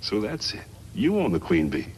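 An actor playing a tough, shrewd foreman of a mining crew in a 1950s radio western, speaking gruffly and bluntly. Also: on the low side, wildly swooping pitch, moderate pace, darkish tone. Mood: probing. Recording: noisy vintage 1950s radio recording.

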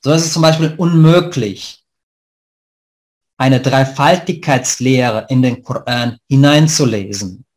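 A man giving a prepared online lecture, reading in a measured, formal way over a webcam. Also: a little high. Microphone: webcam on a video call.

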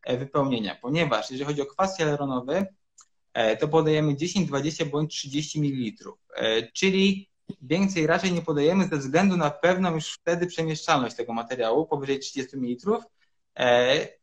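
A man in his thirties, a urologist guest, explaining treatments in measured sentences with frequent hesitations over a video call from a room at home. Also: fairly high pitch, lively intonation. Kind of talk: explanatory interview answers.

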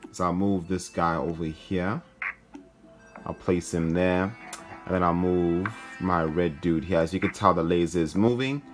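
A man's voice: deep voice, fairly bright tone. A man hosting a gaming video, commentating casually and thinking aloud in an informal way. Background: game music.

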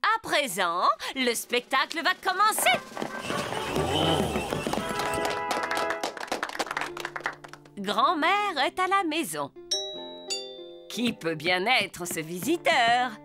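A woman's voice: sits high, very animated, slow pace, over music, exaggerated voice. A woman playing a cheerful, encouraging gazelle teacher in a children's cartoon, announcing theatrically. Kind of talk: animated children's cartoon dub.

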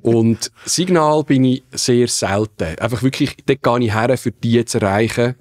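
A man's voice: medium pitch, unhurried, darkish tone. A man in his fifties from Bern with a Swiss German accent, chatting as a podcast guest in a studio, telling stories with dry asides. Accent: Swiss German, Central Swiss mix. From Switzerland, canton of Bern. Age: 50s. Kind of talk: casual conversation. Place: dark podcast studio at a table. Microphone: studio desk mic on a boom arm.

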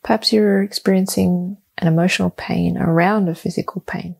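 A woman leading a guided meditation, giving soft, calm, soothing instructions in short phrases with long, deliberate pauses. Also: lowish voice, gravelly, slightly rough.

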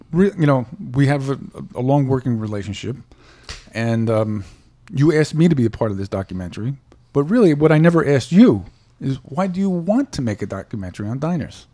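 Podcast co-host, male, warm mellow tone, animated storyteller who laughs a lot. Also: medium pitch, moderate pace. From United States. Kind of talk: casual chat.

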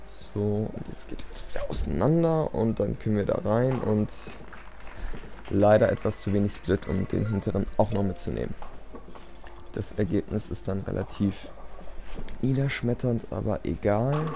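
A man's voice: lowish voice, animated, normal speed, creaky, background noise. A man giving casual, self-deprecating gameplay commentary in a resigned, rambling way. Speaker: let's play commentator. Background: game music.

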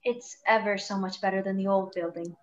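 A woman's voice: mid-range voice, fairly even intonation, brisk, highs cut off, close to an everyday voice. A woman playing a gracious, concerned young wife with polite, genteel speech in a radio play. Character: gracious, concerned young married former nurse.

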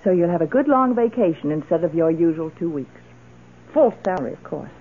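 Brisk, lively lady of the house. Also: fairly low pitch. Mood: brisk, dismissive. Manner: brisk, commanding. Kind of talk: old radio drama acting. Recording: tinny, hissy old radio recording.

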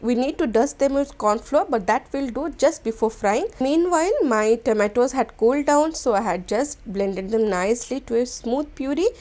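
A woman, hosting a cooking channel and explaining a recipe step by step in a gentle, instructional voice-over. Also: on the high side, moderate pace, hardly any pauses. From India.

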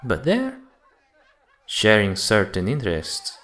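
A man playing a smooth, sociable gentleman gambler with a courteous, theatrical manner in an amateur radio play. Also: on the low side, huge pitch swings, slow, exaggerated voice. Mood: knowing, nostalgic. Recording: music and crowd chatter behind.

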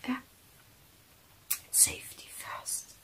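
A woman in her thirties playing a caring survivor who tends a wounded companion in a hushed, soothing, urgent ASMR roleplay. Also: extremely slow. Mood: concerned, gentle.

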